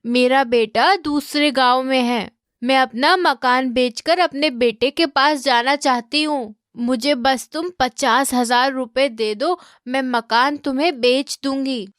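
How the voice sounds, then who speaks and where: A woman voicing an elderly village woman selling her house in an animated story, speaking in a slow, drawn-out way. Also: high voice, no room sound, studio quality. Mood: guarded, plain. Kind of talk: Hindi animated moral comedy story acting.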